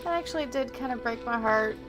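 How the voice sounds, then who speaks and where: A woman's voice: a little high, a little fast. A woman giving casual reactions as a game streamer while she plays. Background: game music.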